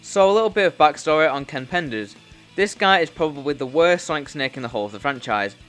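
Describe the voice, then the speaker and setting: A man's voice: on the high side, lively intonation, fast talker, fairly bright tone. A man hosting a YouTube commentary video, talking in a blunt, informal, sweary way as he reads a loose script. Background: music.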